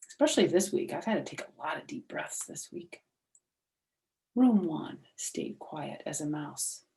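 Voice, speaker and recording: A woman reading a children's storybook aloud in an animated storytelling style. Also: low-pitched, creaky. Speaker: storybook reader.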